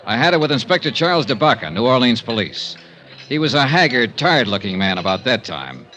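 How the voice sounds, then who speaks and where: An actor playing a weary, clipped insurance investigator in a 1950s radio detective drama, terse and matter-of-fact. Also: male voice, mid-range voice, big pitch swings, brisk, sharp tone, slight background noise. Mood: dry, businesslike. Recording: dull 1950s radio recording.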